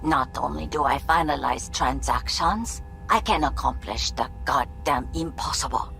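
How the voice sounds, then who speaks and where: A woman playing a shrewd older fixer, dry, imperious and deliberate, with formal phrasing in a video game. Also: rumbling low, vocal fry, fairly bright tone, faint music behind, full character voice, poor audio. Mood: stern, warning.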